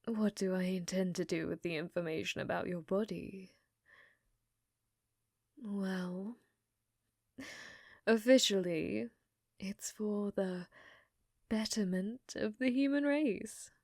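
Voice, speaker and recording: A woman voicing an unhinged, infatuated scientist in a hushed, breathy ASMR roleplay, clinical yet flirtatious and often whispering. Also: mid-range voice, takes it slowly, pauses often, no echo, studio quality. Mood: earnest, coaxing. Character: unhinged, infatuated female scientist studying a captive alien.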